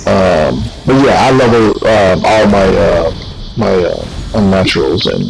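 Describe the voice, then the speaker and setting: A man hosting a casual gaming workshop, talking while he plays, halting with many fillers and restarts. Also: deep voice, animated, gravelly, music in the background, rough recording. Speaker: workshop host.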